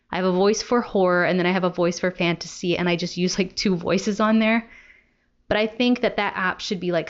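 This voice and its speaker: A woman's voice: mid-range voice, highs cut off. A young adult woman talking casually to viewers as a book vlogger. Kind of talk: casual chat to camera.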